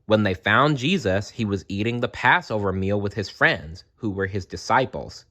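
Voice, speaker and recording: A man reading a children's story aloud as a dramatic, expressive narrator. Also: fairly low pitch, moderate pace.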